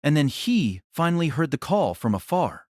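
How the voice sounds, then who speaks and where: A man's voice: on the high side, big pitch swings, moderate pace, a little mellow, no room sound, clean studio sound. A man narrating a novel as a dramatic audio story, in a solemn, theatrical manner.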